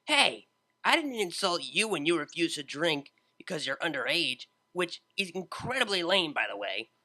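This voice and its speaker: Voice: mid-range voice, sing-song, moderate pace, bright, forceful tone. A reader voicing a brash, casual young female unicorn DJ who talks in slang and teases bluntly. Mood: defensive. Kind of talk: fan fiction reading, character voice.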